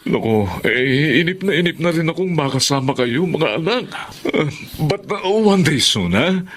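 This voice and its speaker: A man's voice: fairly high pitch, expressive, measured pace, fairly bright tone, slight background noise. A man playing a loving, self-sacrificing husband and father in an emotional Filipino radio drama, earnest and heartfelt. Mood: proud, longing.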